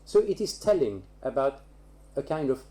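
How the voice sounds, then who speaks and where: A man's voice: mid-range voice, sing-song, normal speed, mellow. A man speaking as an academic panelist in a round-table discussion, repeating words for emphasis.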